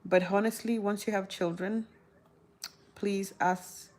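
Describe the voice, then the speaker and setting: A woman's voice: mid-range voice, steady tone, slow, darkish tone. A woman in her thirties from South Sudan, chatting casually to her viewers with frequent laughs and restarts. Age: 30s. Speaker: vlogger answering viewer questions. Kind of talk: casual talk to camera.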